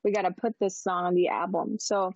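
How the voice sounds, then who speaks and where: A woman's voice: fairly low pitch, little pitch movement, fairly quick, no echo. A young Filipino woman with a Filipino accent, a singer chatting in an interview, bubbly and giggly with many fillers. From Philippines. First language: Tagalog. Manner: bubbly, laughs often, lots of fillers. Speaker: singer guest. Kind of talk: casual interview chat.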